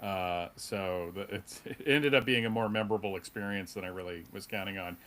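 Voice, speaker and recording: A middle-aged man speaking casually as a fan panelist, in a halting, rambling, wry way. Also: sits low, a bit bright.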